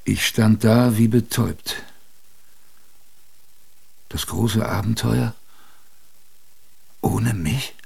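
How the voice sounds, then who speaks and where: An actor playing a gruff but fond old sailor grandfather, telling his memories in a salty, colloquial radio-play manner. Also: fairly low pitch, fairly even intonation, a little mellow, full character voice. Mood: wistful reminiscing. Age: older. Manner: colloquial, salty storytelling with musing pauses. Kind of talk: radio drama first-person narration.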